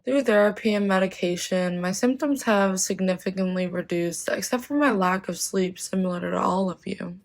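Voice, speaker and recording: A woman's voice: mid-range voice, moderate pace, vocal fry, hardly any pauses, natural voice. A young woman voicing a 32-year-old male patient, reading his lines plainly. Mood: calm. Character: 32-year-old man with bipolar disorder, a patient.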